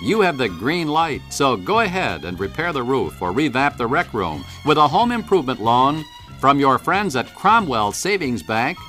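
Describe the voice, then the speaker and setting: Bright, animated male ad announcer. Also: mid-range voice, moderate pace, soft background music. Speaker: commercial announcer. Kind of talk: scripted radio ad read.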